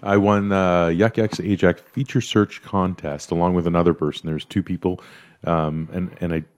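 A man's voice: sits low, fairly even intonation, normal speed, a little mellow. A man from India, a stand-up comedian and co-host, telling his news in a casual chat.

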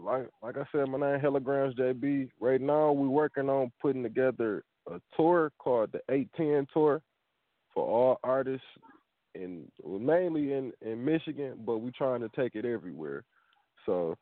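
Brief: laid-back male caller, mid pitch, tinny dry phone audio. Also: no echo. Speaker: caller, music promoter. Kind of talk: casual promo over phone.

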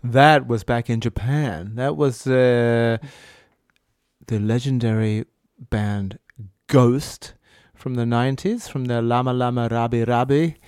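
Joking radio host with a dry voice. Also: male voice, mid-range voice, slow, darkish tone, clean tone, no echo. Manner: dry joking asides, hesitant fillers. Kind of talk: casual radio chat between songs.

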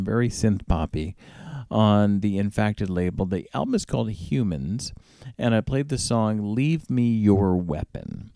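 A middle-aged man hosting a music radio show, back-announcing tracks in a relaxed, rambling way and spelling out band names. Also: on the low side, gravelly, no room sound, studio quality.

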